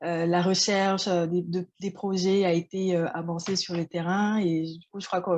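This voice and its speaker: A woman's voice: fairly low pitch, level tone, normal speed, a little mellow, lo-fi sound. A woman moderating a webinar, passing the floor to guests and asking questions, with frequent hesitations. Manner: asks questions, hesitant with fillers.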